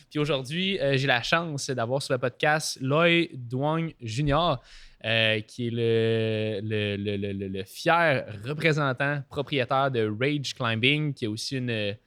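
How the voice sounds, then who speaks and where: A Québécois man hosts a podcast in a relaxed, chatty way, stumbling over words and padding his talk with fillers. His voice sits at a middle pitch and is recorded dry and close, with no room sound. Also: clean tone, no echo. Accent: Québécois French. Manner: filler-heavy, restarts, frequent laughs. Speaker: podcast host. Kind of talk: casual podcast interview.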